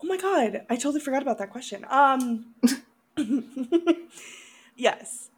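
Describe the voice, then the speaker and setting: A woman's voice: sits high, lively intonation, measured pace, husky. A woman co-hosting a casual film review chat, often breaking into giggles as she talks. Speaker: podcast co-host.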